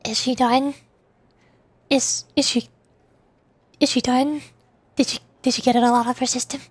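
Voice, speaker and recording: High-voiced, playful young woman streamer. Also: level tone, normal speed, breathy edge, no room sound. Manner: playful, chatty, giggly. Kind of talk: casual live-stream chat. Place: home streaming desk. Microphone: desk microphone. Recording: home mic with faint music.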